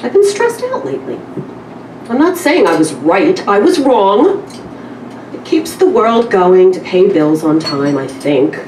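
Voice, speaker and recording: A woman's voice: medium pitch, sing-song, a little slow, a little mellow. A woman presenting a literary reading and chatting informally to an audience, speaking away from the microphone. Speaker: publisher and editor presenting a reading. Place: live event room, away from the microphone. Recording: roomy, distant live recording with noise.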